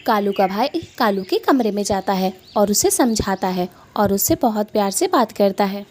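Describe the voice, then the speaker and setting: A woman's voice: mid-range voice, lively intonation, normal speed, darkish tone. Woman narrating over background birdsong and noise. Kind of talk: scripted children's cartoon story narration.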